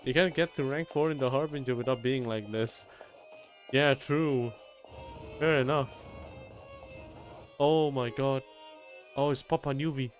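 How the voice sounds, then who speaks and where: A man's voice: mid-range voice, unhurried, long pauses, faint music behind. A man streaming a game, reacting casually in a sweary, exclamatory way.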